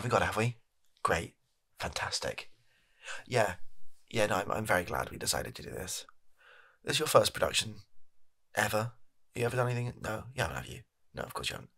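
A man's voice: lowish voice, vocal fry, pushed and bright, breathy edge, exaggerated voice. A British man playing a flustered, shifty energy company chief, bumbling and evasive, in an improvised comedy sketch. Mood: exasperated, sarcastic. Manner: bumbling, evasive, halting, put-upon. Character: flustered, shifty male energy company CEO recording an address.

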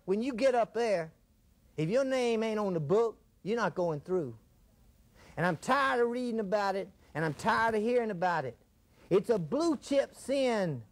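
A man preaching a fiery televised sermon, dramatic and repetitive, coining odd words. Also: sits high.

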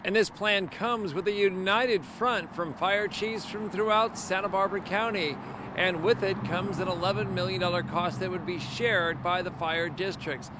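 A man's voice: high-pitched, fairly even intonation, normal speed, noisy background. An American man of mature age delivering a scripted TV news report as a field reporter, speaking into a handheld microphone. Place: outside a fire station on a street. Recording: outdoor live report with street ambience.

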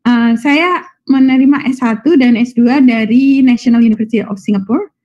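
A woman's voice: fairly high pitch, normal speed, a little mellow. A woman with an Indonesian accent giving an informal, somewhat hesitant lecture over a video call. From Malang, Indonesia. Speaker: guest lecturer, university professor. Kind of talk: informal, improvised online lecture. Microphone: video call microphone. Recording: slightly dull video call audio.

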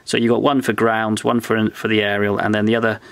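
Gravelly low-voiced man at a workbench. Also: fairly quick. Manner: relaxed, thinking aloud, explaining technical details step by step. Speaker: electronics restorer. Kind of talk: hands-on show-and-tell. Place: workbench indoors.

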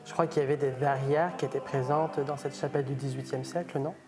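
A man's voice: mid-range voice, fairly even intonation, fast talker, over loud music. A young man, an art historian, describing stained glass in an enthusiastic, conversational way with fillers, in a small chapel. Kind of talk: conversational expert commentary. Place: small wood-paneled chapel, indoors.

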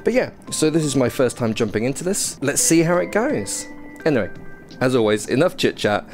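A man's voice: mid-range voice, very animated, brisk, darkish tone. Chatty young man playing a VR game. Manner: chatty, self-deprecating, reads prompts aloud. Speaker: gaming YouTuber. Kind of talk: first-impressions gameplay commentary. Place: small indoor room playing VR. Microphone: headset mic. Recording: game music behind.

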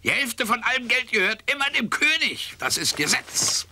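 A man's voice: very high-pitched, sing-song, moderate pace, bright, forceful tone, full character voice. A man playing an officious, falsely friendly royal tax official in a children's audio play. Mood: officious, slyly friendly.

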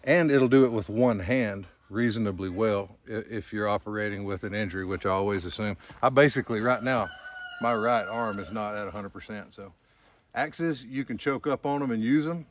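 A man's voice: fairly low pitch, moderate pace. An older man talking casually outdoors in a wooded yard, plainspoken and folksy as he shows his tools. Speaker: outdoors skills guest.

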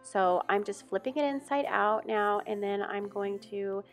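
A relaxed woman in her thirties walks through a simple home project step by step, with easy fillers along the way. Her voice has a gravelly edge, and soft music sits faintly behind her. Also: mid-range voice, unhurried. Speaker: home vlogger. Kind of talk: casual tutorial voice-over.

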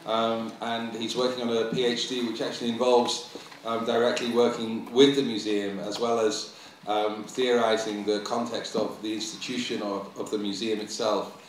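A middle-aged man introducing a speaker as moderator of a symposium, halting and full of ums and uhs. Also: lowish voice, little pitch movement, slight background noise. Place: large museum auditorium. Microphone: stand mic at table. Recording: very echoey hall, mic from a distance.

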